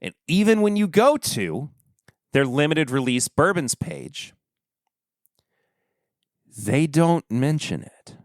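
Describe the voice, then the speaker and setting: A man hosting a podcast, talking casually and rambling in a relaxed way, with a stuffy nose. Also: on the high side, takes it slowly, pauses often, no room sound, clean studio sound. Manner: relaxed, rambling, trailing off quietly. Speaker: podcast host. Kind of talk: casual solo review chat.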